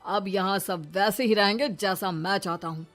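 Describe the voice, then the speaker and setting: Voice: medium pitch, normal speed, fairly bright tone, close to an everyday voice. An actor playing an overbearing, bullying middle-aged father-in-law in a story, sarcastic and domineering. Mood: resentful. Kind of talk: dramatised Hindi moral story. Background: music.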